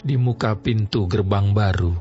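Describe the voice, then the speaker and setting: A man narrating a Bible reading in a slow, measured, even manner. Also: lowish voice, dull audio. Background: music.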